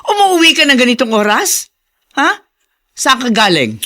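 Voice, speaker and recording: A woman's voice: sits high, sing-song, normal speed, bright, forceful tone, exaggerated voice. A woman playing a nagging, sarcastic middle-aged mother in a radio drama. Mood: angry, scolding. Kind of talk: Filipino radio drama acting.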